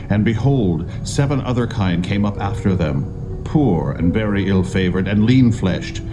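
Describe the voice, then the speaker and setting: An actor playing a grave, regal king of ancient Egypt in a dramatised scripture reading, speaking in a stately, deliberate manner. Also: low voice.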